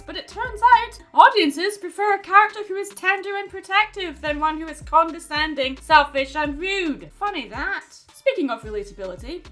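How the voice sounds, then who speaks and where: A woman's voice: a little high, pushed and bright. A woman reading a scripted video essay as narrator, with a wry manner and dry asides.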